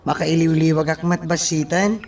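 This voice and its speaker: A man's voice: a little high, fairly even intonation, moderate pace, a little mellow, recorded from a distance, a little hiss or hum, lightly acted. An actor playing a meek, hesitant young man who stammers unsurely in a radio drama. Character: hesitant, meek young man, eager to please his mother.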